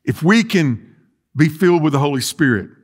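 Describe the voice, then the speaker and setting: Middle-aged man, pastor, fairly high voice, earnest sermon, church sanctuary, restarts. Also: lively intonation, normal speed. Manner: earnest preaching, pacing the floor and gesturing, with repeated restarts. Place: large church sanctuary with rows of chairs, indoors.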